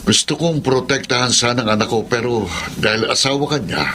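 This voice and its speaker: A man's voice: medium pitch, a little fast, lightly acted. A man acting in a Filipino radio drama as an earnest, protective older father, speaking emphatically. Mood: grave, confiding. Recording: light background noise.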